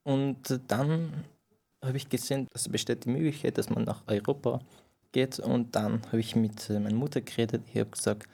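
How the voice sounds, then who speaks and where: A young man from Iran whose first language is Farsi, telling his story in an interview in halting German with many restarts. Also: mid-range voice, fairly even intonation, creaky, warm tone, breathy edge. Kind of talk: personal interview account.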